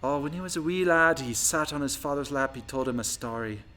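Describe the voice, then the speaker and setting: A man putting on a quick Celtic storyteller. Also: medium pitch, sharp tone, close to an everyday voice. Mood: playful storytelling. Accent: imitated Scottish or Irish. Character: folksy Scottish or Irish storyteller.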